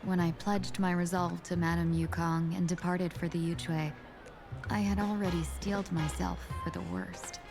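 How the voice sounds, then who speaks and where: A woman's voice: fairly low pitch, moderate pace, close to an everyday voice. A woman voicing a composed, weary young fox-woman envoy in a video game, speaking formally and with theatrical measure. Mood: composed, probing. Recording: music behind the voice.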